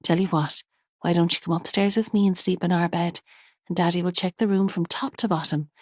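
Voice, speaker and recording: A woman voicing a patient young mother who speaks gently and reassuringly. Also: on the low side, steady tone, brisk, no echo, clean studio sound, lightly acted. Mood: soothing.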